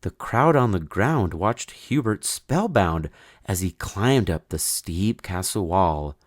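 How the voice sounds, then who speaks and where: Low-voiced man reading a story, very animated. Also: slow. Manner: expressive, theatrical storytelling. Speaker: storybook narrator. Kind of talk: dramatic rhyming children's story read-aloud.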